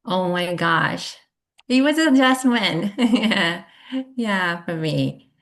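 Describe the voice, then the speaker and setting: A woman with a Japanese accent, a therapist whose first language is Japanese, talking hesitantly with many fillers and soft laughs in a casual interview. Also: fairly low pitch, lively intonation, takes it slowly. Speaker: therapist guest.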